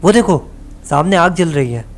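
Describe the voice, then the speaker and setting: A man's voice: a little high, lively intonation, normal speed. A man playing an impulsive, curious young university student in an audio drama. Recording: loud ambient background noise.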